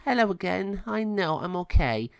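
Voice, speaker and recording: A man voicing a sweet, affectionate young girl heroine in a children's storybook, with an earnest, gentle manner. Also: high voice, very animated, normal speed, creaky, clear, resonant tone, dry recording, pitched above the performer's own voice, exaggerated voice. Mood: cheerful, sweet.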